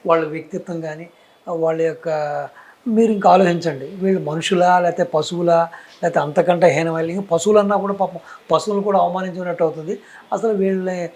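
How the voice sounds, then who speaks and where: A man's voice: on the high side, a little mellow, low-quality recording. A man with a Telugu accent giving opinionated political commentary, emphatic and rhetorical, posing questions and piling up repeated phrases.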